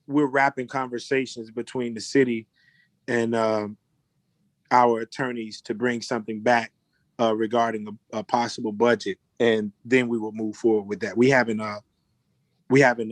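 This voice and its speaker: A man chairing a formal virtual board meeting in a deliberate, procedural manner over a video call. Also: fairly low pitch, dry recording.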